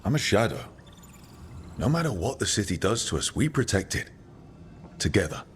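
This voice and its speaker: An actor playing a steady, encouraging hero with dry wit in a fantasy video game, earnest and gently teasing. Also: male voice, fairly low pitch, normal speed, a little hiss or hum. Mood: earnest, supportive. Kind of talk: dramatic video game dialogue.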